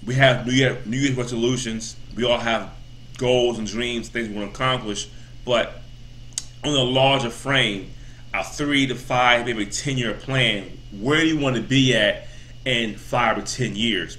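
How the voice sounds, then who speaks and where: A man's voice: mid-range voice, measured pace, pushed and bright, rough recording. A man in his thirties giving a casual, rambling motivational talk as a vlogger, full of repeats and "you know" fillers. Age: 30s.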